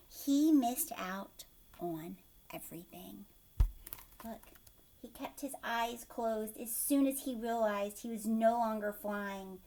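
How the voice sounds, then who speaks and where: A woman teacher reading a picture book aloud to young children, expressively, with questions and asides to her listeners. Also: on the high side.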